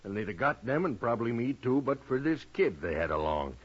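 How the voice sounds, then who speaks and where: An American actor playing an uneasy rural Western rancher in a 1950s radio drama, husky, drawling and halting. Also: male voice, medium pitch, expressive. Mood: hesitant, uneasy. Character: folksy, uneasy frontier rancher and father, hesitant. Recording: dull lo-fi old radio recording.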